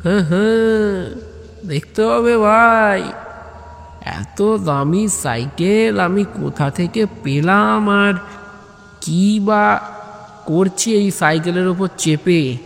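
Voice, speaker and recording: A man's voice: sits high, drawn-out words, gravelly, long pauses, a bit bright, in a large hall, full character voice. Man playing a boastful, touchy big-bellied local bigshot. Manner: bragging, easily riled. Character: big-bellied, stingy, boastful, touchy neighbourhood elder brother.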